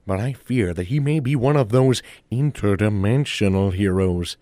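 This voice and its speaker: A man's voice: lowish voice, normal speed, dark tone, no room sound, close to an everyday voice. A man playing a good-natured, bumbling, self-important superhero in a comic audio drama, mock-heroic with a tendency to stammer. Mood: sheepish, bluffing.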